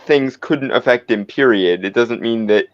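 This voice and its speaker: A man's voice: medium pitch, moderate pace, dry recording, highs cut off. A man debating game rules casually, reasoning his argument out step by step. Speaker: tabletop roleplaying player.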